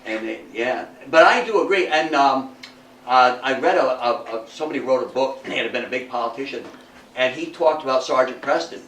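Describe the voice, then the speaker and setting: An older man giving an informal talk to a group and reminiscing, in a folksy, halting way with repeated words. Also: medium pitch, fairly bright tone. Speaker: guest speaker at a local history talk. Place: meeting room with an audience. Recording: echoey room, distant mic, background hiss.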